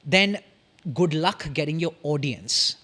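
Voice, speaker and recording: This young man speaks with an Indian English accent, his voice a little husky and set somewhat high for a man. He explains things articulately and measuredly, leaving pauses between sentences as a podcast guest. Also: expressive, bright, forceful tone. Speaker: podcast guest, communication strategist. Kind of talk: casual explanatory interview talk.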